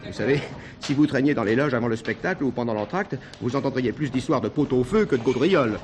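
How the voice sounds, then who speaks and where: An actor as animated, gossipy theatre man. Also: medium pitch, fast, music in the background, lightly acted. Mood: evasive, confiding. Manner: exclamatory, chatty, theatrical. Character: gossipy, theatrical backstage theatre man, teasing but evasive. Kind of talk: French stage comedy-mystery acting.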